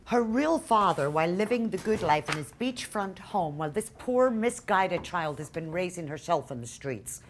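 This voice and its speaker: A woman's voice: fairly low pitch, lively intonation, normal speed, hardly any pauses, a little hiss or hum. An actress playing an indignant, combative lawyer arguing her case in a filmed courtroom drama.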